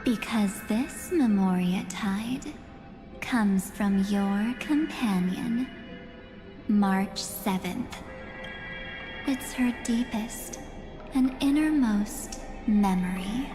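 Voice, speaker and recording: Slow, enigmatic young female spirit. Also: medium pitch, over music. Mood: grave, eerie. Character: enigmatic young female memory spirit, softly menacing, coaxing. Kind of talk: scripted video game dialogue.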